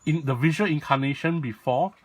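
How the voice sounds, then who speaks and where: A man with a raspy voice, talking casually and rambling as he shows off a toy in a video. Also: fairly high pitch, a little fast, no room sound. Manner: rambling, self-correcting, lots of fillers. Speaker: toy blogger and vlog host.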